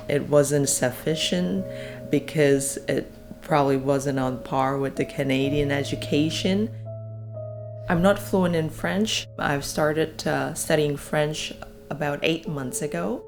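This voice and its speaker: A woman's voice: deep voice, creaky. A woman from Malaysia giving a personal account in a documentary interview. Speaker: job seeker interviewee. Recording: music playing behind the voice.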